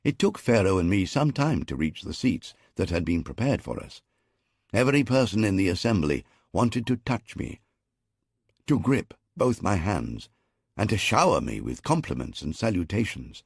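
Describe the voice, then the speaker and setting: A man's voice: low-pitched, big pitch swings, moderate pace, creaky, slightly rough, dry recording. A man narrating a historical audiobook in the first person, with a dry, wry storytelling manner.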